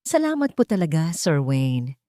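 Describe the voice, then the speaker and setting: A shy young maid from a romance audio story , acted by a woman narrator. She is humble, polite and earnestly grateful, her pitch lifting and moving in a lively way. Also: normal speed, no echo, clean studio sound.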